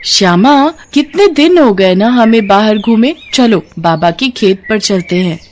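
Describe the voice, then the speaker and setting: A woman's voice: medium pitch, expressive, unhurried, darkish tone, slight background noise, pitched above the performer's own voice, lightly acted. A woman voicing a sweet little girl devoted to her sister in a storybook tale. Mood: happy, affectionate.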